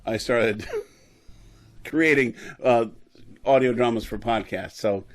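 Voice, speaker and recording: A middle-aged man with a mid-range voice chats as a guest. He is enthusiastic yet halting, casually piecing his thoughts together. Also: mid-range voice. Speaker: guest audio drama creator. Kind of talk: casual interview chat.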